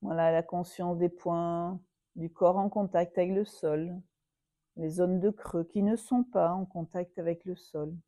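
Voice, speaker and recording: Woman doing guided meditation, super mellow voice, really slow with long pauses, soothing yoga teacher style. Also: fairly low pitch, steady tone, dry recording.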